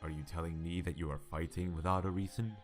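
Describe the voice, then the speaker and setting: A man voicing a calm, enigmatic masked male martial arts master who speaks in measured, probing lectures, in a fan radio drama. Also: deep voice, natural voice. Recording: music underneath.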